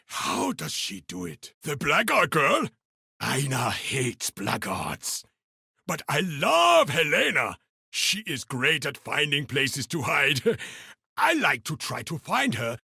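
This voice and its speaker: A man's voice: high-pitched, creaky, pushed and bright, husky, dry recording, clean studio sound, full character voice. A man playing a boisterous, eccentric, cheerfully mad beast hunter in a video game, talking with theatrical exuberance. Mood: earnest, musing. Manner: third-person self-talk, theatrical, exuberant delivery. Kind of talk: video game character voice lines.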